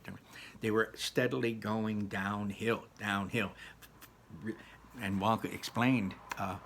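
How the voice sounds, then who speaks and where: A man informally recapping and narrating a children's story as a read-aloud host, halting and repeating words for emphasis. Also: fairly low pitch, pushed and bright, slight background noise.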